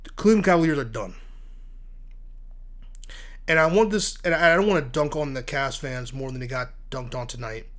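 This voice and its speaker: A man hosting casual solo sports talk, congested from asthma and allergies, blunt and animated, stumbling and repeating words as he gets worked up. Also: mid-range voice, normal speed, pauses often. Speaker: sports commentary host.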